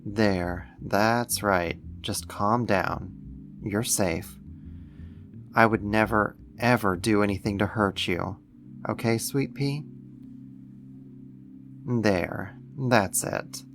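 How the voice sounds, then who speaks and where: A man playing a gentle, doting alien-like being in a soft-spoken ASMR roleplay, coaxing and soothing as if to a pet, tender and a little condescending. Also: on the low side, very slow, pauses often, faint music behind, exaggerated voice.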